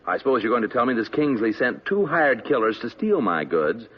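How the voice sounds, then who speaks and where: A man playing an exasperated tailor shop owner in a 1950s radio drama, with a husky, peevish tone. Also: mid-range voice, animated, fairly quick. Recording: dull lo-fi old radio broadcast.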